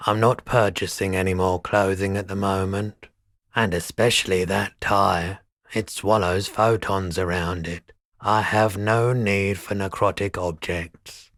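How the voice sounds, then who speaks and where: A very low-voiced, middle-aged pawnshop keeper from a video game, talks slowly. He is gentle and a little odd, musing in a laid-back, weary way, and the recording is close and dry. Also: steady tone, no room sound. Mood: polite, detached. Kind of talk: video game character dialogue.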